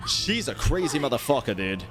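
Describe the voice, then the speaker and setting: A man with a medium-pitched voice gives casual, opinionated stream commentary. He is blunt and slangy and swears freely, with music playing behind him. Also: sing-song, brisk. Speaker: streamer ranking game quests. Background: video game music.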